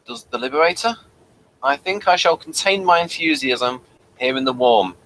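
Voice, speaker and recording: Bright-voiced man reviewing over background noise. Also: medium pitch, expressive, moderate pace, rough recording. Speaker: review show host. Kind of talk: conversational TV episode review, reading notes aloud.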